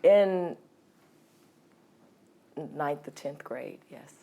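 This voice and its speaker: A middle-aged woman from California telling her life story as an interview guest, hesitant with many ums and soft laughs. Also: sits low, animated, extremely slow, vocal fry, muffled recording. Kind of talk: candid personal storytelling.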